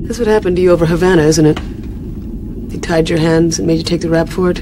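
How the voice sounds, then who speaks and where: An actor playing a proud, sardonic military major with a clipped manner in a video game cutscene. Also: male voice, a little high, fairly even intonation, quick pace, background noise, natural voice. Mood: troubled.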